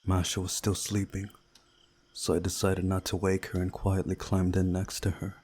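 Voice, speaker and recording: A man reading a visual novel aloud as a playthrough host, in a measured, deliberate way with pauses. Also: deep voice, completely flat delivery, a little mellow, a little hiss or hum. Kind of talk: scripted narration of a visual novel with commentary.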